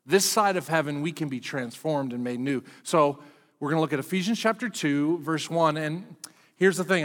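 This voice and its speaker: A man's voice: on the high side, fairly bright tone, long reverb tail. A middle-aged man preaching a sermon as pastor, candid and self-deprecating in a conversational way.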